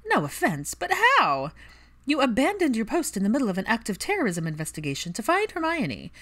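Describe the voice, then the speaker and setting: A woman voicing a brash, sharp-tongued young woman with an emphatic, sarcastic delivery in audiobook dialogue. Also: a little high, huge pitch swings, a little fast, voice pushed up, full character voice. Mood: incredulous.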